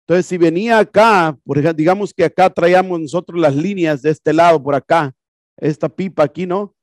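A man's voice: a little high, fairly quick, no echo, clean studio sound. A man teaching an HVAC class in a classroom, explaining casually and repeating himself with rhetorical questions. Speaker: HVAC instructor. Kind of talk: classroom lecture explaining a projected video. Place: classroom with projector screen.

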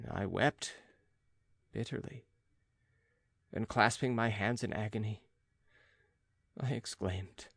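A man narrating a classic gothic novel as an audiobook, in a measured, dramatic literary manner. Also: on the low side, fairly even intonation, creaky, husky, dry recording.

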